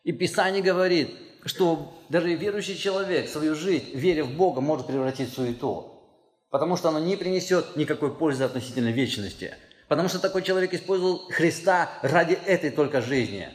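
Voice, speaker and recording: A man preaching a sermon as pastor, speaking earnestly and pressing his points with rising emphasis. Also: fairly quick. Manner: earnest, emphatic, rises in pitch and force when stressing a point. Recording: long hall reverb.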